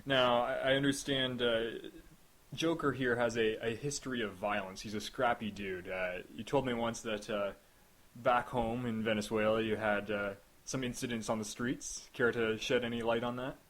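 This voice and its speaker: A man's voice: medium pitch, steady tone, moderate pace, fairly bright tone, a little hiss or hum. A man hosting a casual interview, asking questions with teasing, dry jokes. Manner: joking, teasing dry asides. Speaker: host.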